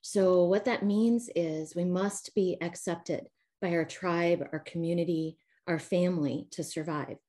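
A woman from the American Midwest with a Midwestern accent mixed with Southern, calmly explaining her coaching work as an interview guest over a video call. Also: lowish voice, measured pace, hardly any pauses. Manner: calm, explanatory, frequent um and you know. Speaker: trauma recovery coach, guest. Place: home, calling in remotely.

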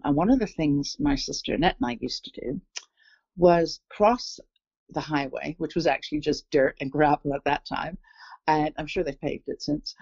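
An older woman with a blend of southern English and Canadian accent tells a story in a wandering, chatty way, stopping often for fillers and restarts. Her voice has a gravelly fry to it. The recording is dull and dry, like a lo-fi remote call. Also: low-pitched, a little mellow, dry recording. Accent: mix of southern English and Canadian. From England, raised in Canada. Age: 60s. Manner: rambling, many fillers and self-corrections. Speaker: guest telling an encounter. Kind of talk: casual storytelling.

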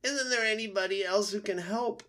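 A man's voice: high voice, normal speed, sharp tone, pitched above the performer's own voice. A man voicing a vain, hungry male fox in an animal story, with a demanding, dramatic manner. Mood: pained, whining.

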